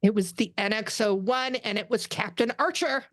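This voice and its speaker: A woman's voice: a little high, normal speed, lightly acted. A woman reading the part of an earnest, indignant young female alien science officer in a comedic table read. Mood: sulky, indignant.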